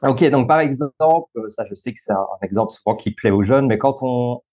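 A thirty-year-old man with a Belgian French accent talks through ideas in an easygoing, explanatory way, pausing often on fillers and restarting his sentences. His voice sits mid-range for a man. The recording sounds a little dull and lo-fi. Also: mid-range voice, warm tone. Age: 30s. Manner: explanatory, many fillers and restarts, easy laughs. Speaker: guest, school coach and social worker. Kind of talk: podcast interview.

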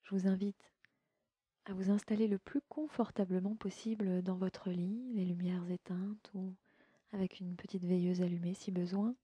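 A woman leading a guided sleep meditation in a gentle, soothing, unhurried way, often slipping into a breathy near-whisper. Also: mid-range voice, fairly even intonation, no echo. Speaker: yoga nidra guide and podcast host. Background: music.

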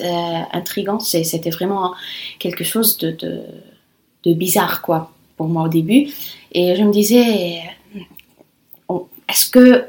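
A woman's voice: medium pitch, a little mellow. A young woman whose first languages are Arabic and Italian, a conference interpreter answering interview questions in a thoughtful, hesitant way with restarts.

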